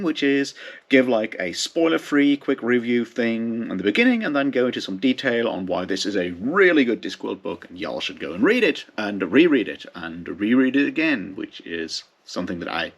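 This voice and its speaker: A man's voice: medium pitch, gravelly, highs cut off. A man giving a casual, unscripted book review as a host, speaking informally in rambling, hesitant phrases.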